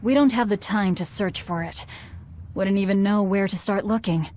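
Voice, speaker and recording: A woman playing a cold, driven young leader in a video game, curt and deadpan. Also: mid-range voice, moderate pace, a little hiss or hum, lightly acted. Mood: businesslike. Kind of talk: animated video game dialogue.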